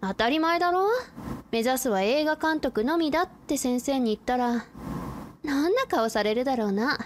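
A woman playing a cool, blunt tomboy high school girl in a radio drama, with brusque, boyish speech. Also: expressive, normal speed. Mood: self-assured. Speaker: supporting actor. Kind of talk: anime-like radio drama acting. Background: ambient noise.

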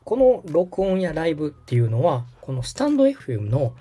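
Male podcast instructor, polite and explanatory, with a soft-edged mellow tone and a slightly husky grain. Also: fairly high pitch, big pitch swings, brisk. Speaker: podcast host and radio director, giving instructions. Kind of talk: step-by-step app tutorial over background music.

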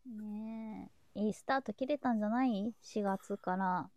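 A woman's voice: mid-range voice, measured pace, a little mellow, no echo. A woman hosting a live show in casual, unscripted chat.

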